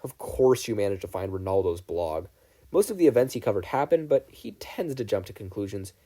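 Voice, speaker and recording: A man voicing an earnest, guarded teenage boy raised as a child soldier, speaking in a measured, matter-of-fact way in a story reading. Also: lowish voice, sing-song, dark tone, voice pushed down. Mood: dry, explanatory. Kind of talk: fan fiction audiobook character reading.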